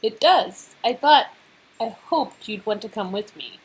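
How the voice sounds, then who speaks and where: A woman reading the part of a gentle, friendly and shyly affectionate young woman in a romance game. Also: on the high side, lively intonation, unhurried, breathy edge, poor audio. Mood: shyly inviting.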